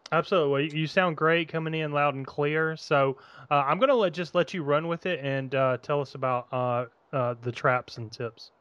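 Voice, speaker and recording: A male host with a smooth, resonant voice that sits slightly high for a man. He sounds upbeat and relaxed and drops in filler words. The recording is dull and dry. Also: moderate pace, no echo. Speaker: podcast host. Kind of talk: phone interview host.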